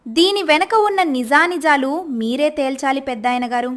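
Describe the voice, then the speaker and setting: A woman voicing a clever, bold young village wife in an animated story. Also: high voice, normal speed. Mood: confident. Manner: confident, clear explaining.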